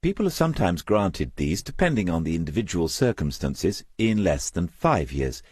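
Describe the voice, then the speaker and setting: A man's voice: sits low, big pitch swings, normal speed, a little mellow, husky, no echo, studio quality, exaggerated voice. A man voicing a young corporate insurance woman in an audiobook, with a polite, formal, matter-of-fact manner. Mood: informative, polite.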